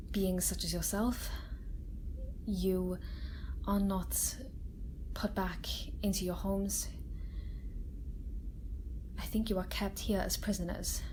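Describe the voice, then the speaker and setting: A woman playing a kind, protective research scientist in a soft-spoken ASMR roleplay, gentle, hesitant and confiding. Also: fairly low pitch, poor audio. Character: kind, caring young female research scientist, earnest and protective. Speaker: voice actor in an audio roleplay. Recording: steady sci-fi ambience noise behind voice. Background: sci-fi laboratory ambience.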